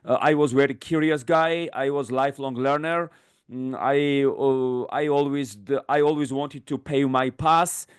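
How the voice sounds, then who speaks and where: A man's voice: medium pitch, steady tone, clear, resonant tone. A man in his thirties from Georgia, a native Georgian speaker, answering as a podcast guest in English with many fillers and restarts. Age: 30s. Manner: fluent but halting, many fillers and restarts. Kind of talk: podcast interview.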